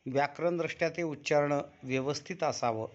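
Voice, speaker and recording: A man with a Marathi accent teaching a Sanskrit class online, instructive and deliberate in his enunciation. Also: a little high. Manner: instructive, enunciates deliberately, prompts students with questions. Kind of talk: online class instruction with chanted verse recitation. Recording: dull, muffled online class audio.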